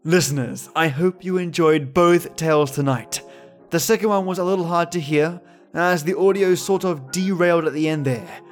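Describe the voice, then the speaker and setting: A man's voice: fairly high pitch, normal speed. A man hosting a storytelling show, chatting casually to his listeners. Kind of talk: casual outro chat to listeners. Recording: music behind the voice. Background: music.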